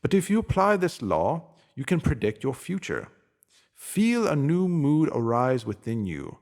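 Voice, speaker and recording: A man's voice: a little high, sing-song, unhurried, darkish tone. A man narrating a motivational spiritual essay aloud in an earnest, instructive manner.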